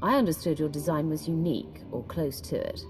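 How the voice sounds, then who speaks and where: Game commander, female: very low register, measured authority, decisive and wary, clear music behind. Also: expressive, a little mellow. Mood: commanding. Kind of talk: scripted game cutscene dialogue.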